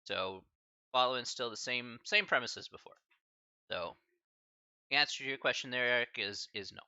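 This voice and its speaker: Chatty math instructor, bright dry voice. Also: male voice, mid-range voice, moderate pace, clean tone, no room sound, muffled recording. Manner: casual, chatty, filler-heavy with slang asides. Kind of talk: live-streamed class lecture.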